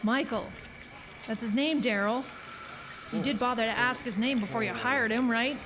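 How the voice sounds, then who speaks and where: An actress playing a brash, bossy, excitable young carnival woman in a horror audio drama, sassy and pushy. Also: on the high side, normal speed, background noise, lightly acted. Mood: teasing, impatient.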